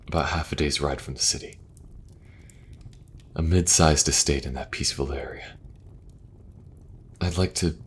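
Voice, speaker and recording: A man acting as a benevolent king and brother in a fantasy audio roleplay, speaking in an earnest, measured way. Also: very deep voice, breathy edge.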